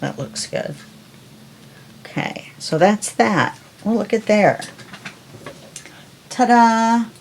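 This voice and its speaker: A woman hosting a crafting tutorial, chatting calmly and unhurriedly as she works. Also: medium pitch, creaky, a little hiss or hum. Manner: calm, unhurried, talks while working. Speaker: crafting video host. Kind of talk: casual crafting tutorial chat.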